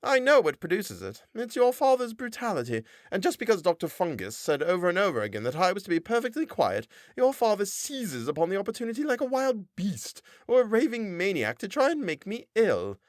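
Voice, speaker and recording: A shrill, self-righteous wife in a storybook reading, nagging in a nasal twang, as a male narrator puts her on. Her voice sits high above the reader's own and swings widely. The recording is dry and close. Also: wildly swooping pitch, fairly quick, few pauses, no echo. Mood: complaining. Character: nagging pious middle-aged wife. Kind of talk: audiobook character voice.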